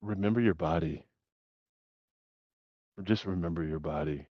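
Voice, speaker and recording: A man with a very deep, low voice talks reflectively as a support group facilitator. He laughs easily and restarts his sentences as he thinks. The sound is dry and a little dull, like a video call. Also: measured pace, no room sound. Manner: thoughtful, laughs often, many restarts.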